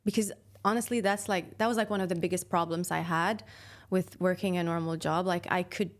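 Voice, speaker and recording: A woman in her twenties from Sweden, a podcast guest talking casually and thoughtfully about her path, with fillers and soft laughs. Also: on the low side, steady tone, brisk. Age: 20s. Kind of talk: casual interview chat.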